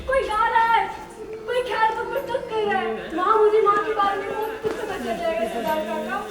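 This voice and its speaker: A woman's voice: extremely high pitch, normal speed, a loud crowd. An actress in a Hindi stage play, playing an eager, emotional young woman who pleads insistently with theatrical projection. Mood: eager, pleading. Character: eager, emotional young woman searching for her late mother's story. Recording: distant echoey auditorium recording with loud music.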